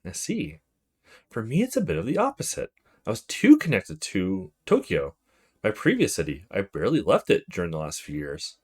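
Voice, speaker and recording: A man's voice: low-pitched, wildly swooping pitch, moderate pace, breathy edge, voice pushed down, exaggerated voice. Man reading as a casual, teasing young woman. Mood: casual, curious. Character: friendly, coy young woman, rich student gamer.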